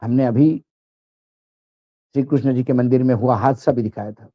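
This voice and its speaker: A man's voice: mid-range voice, steady tone, moderate pace, dull audio. A man presenting political commentary to viewers in an emphatic, rhetorical way.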